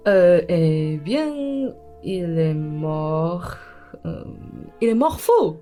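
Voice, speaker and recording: A woman's voice: deep voice, sing-song, very slow, dark tone, soft background music, heavily acted. A woman playing a bungling male thief posing as a Spanish duke in a student radio play, hesitant and stammering, then effusive and bossy. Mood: flustered.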